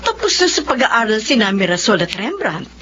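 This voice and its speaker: An affectionate older sister who teases fondly in a Filipino radio drama. The voice is bright and very expressive in pitch, on a muffled, faintly noisy recording. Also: female voice, brisk. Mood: delighted, approving. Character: affectionate, teasing older sister of a retired man.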